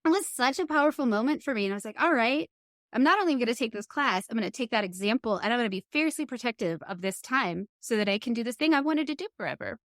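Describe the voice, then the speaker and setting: A middle-aged woman hosting a podcast, speaking earnestly and thoughtfully in candid conversation, often pausing mid-thought. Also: a little high, expressive, a bit bright, dry recording, clean studio sound. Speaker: podcast host.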